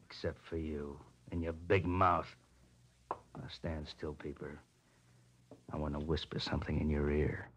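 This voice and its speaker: An American actor playing a menacing, cocky heavy in a 1940s radio crime drama, sneering and slangy. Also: male voice, very deep voice, little pitch movement, a little slow, long pauses. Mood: quietly menacing. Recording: vintage radio recording, faint noise.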